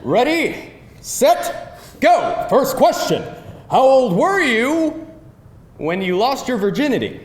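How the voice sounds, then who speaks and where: A man's voice: very high voice, sing-song, slow, exaggerated voice. A man playing a cocky, playful guy who bosses and teases his date at a live screenplay table read. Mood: bossy, teasing. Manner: bossy, teasing game-show delivery. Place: indoor room before a live audience. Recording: echoey live room, faint noise.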